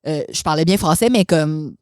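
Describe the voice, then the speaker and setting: A woman's voice: deep voice, moderate pace, no room sound, studio quality. A young woman with a Québécois accent, a podcast guest chatting casually and dropping English phrases. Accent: Québécois French. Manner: chatty, mixes English expressions, laughs often. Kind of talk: casual conversation.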